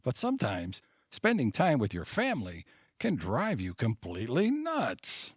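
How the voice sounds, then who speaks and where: A man's voice: medium pitch, huge pitch swings, measured pace. A man narrating a scripted introduction as the announcer of an audio theater play.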